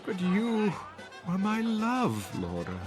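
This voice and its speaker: An actor playing a bewildered, anguished older man in a radio drama, with a halting, pleading, theatrical delivery. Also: high voice, wildly swooping pitch, full character voice. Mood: wounded, pleading. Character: anguished, bewildered older gentleman lost among his past. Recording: music and crowd chatter behind.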